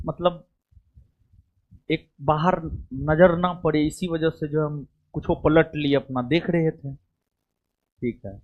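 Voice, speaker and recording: A man's voice: a little high, fairly even intonation, slow, pauses often, phone-quality sound. A man, a coaching class teacher talking informally to his students in a rambling way, full of fillers. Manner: rambling, conversational, many fillers like "matlab" and "theek hai".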